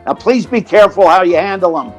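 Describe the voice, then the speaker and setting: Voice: medium pitch, animated, fast, soft background music, close to an everyday voice. A performer playing a brash, colloquial theatrical agent who narrates and acts in an old-time radio comedy.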